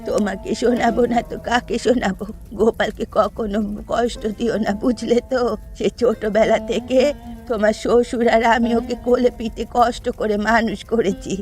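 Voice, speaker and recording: A woman's voice: a little high, fairly even intonation, moderate pace, a little mellow, lightly acted. Plaintive ageing village mother over music, acted by a woman. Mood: pleading, gentle. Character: ageing village mother, sorrowful, doting, long-suffering. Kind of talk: Bengali cartoon dialogue. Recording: background music under dialogue.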